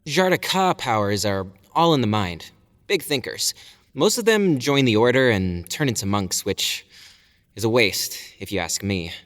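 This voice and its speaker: A man's voice: mid-range voice, sing-song, measured pace, a bit bright. Man acting a cocky warrior in a reverberant hall. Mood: explanatory, amused. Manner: breezy, boastful explaining. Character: cocky, proud male warrior showing off his powers. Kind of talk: fantasy audio drama dialogue. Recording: reverberant hall, faint music behind.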